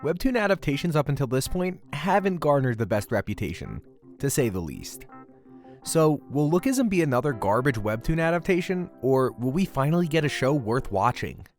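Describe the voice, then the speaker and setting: Expressive male video reviewer over music. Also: medium pitch, moderate pace. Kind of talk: scripted review narration. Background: background music.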